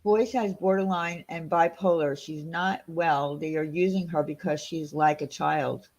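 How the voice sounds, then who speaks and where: A woman with a Long Island New York accent talks on her livestream in a chatty, rambling way, full of fillers and restarts. Her voice sits a little low for a woman. She sounds indignant and opinionated as she answers her chat. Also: steady tone, measured pace, a little mellow. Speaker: livestream host reading chat.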